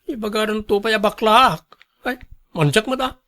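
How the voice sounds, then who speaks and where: An actor with an Ilocano accent playing a volatile, hard-drinking young husband in a radio drama. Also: male voice, high-pitched, normal speed, bright, forceful tone. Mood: defensive.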